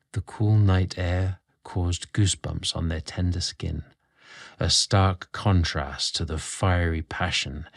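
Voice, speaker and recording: A man's voice: deep voice, no echo, studio quality. A man narrating a scripted romantic story as host, with a theatrical, sensual delivery.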